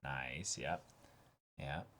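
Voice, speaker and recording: A man's voice: very deep voice, drawn-out words, sharp tone, slight background noise. A man co-hosting a casual read-along, reacting playfully and reading bits aloud. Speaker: co-host of a comic read-along.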